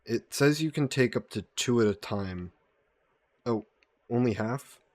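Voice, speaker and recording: A man playing a gentle, guilt-burdened vampire caretaker in an intimate audio roleplay, speaking politely and formally with some hesitation. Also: medium pitch, unhurried, no room sound, lightly acted. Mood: attentive, caring. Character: gentle, guilt-burdened male vampire employer caring for a guest.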